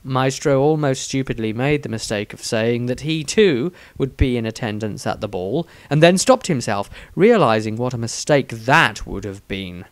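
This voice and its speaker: A male narrator reads a fantasy tale in a dramatic storytelling style. His intonation is very lively, and the recording is close and dry with hardly any room to it. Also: mid-range voice, moderate pace, few pauses, no echo. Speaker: narrator and author.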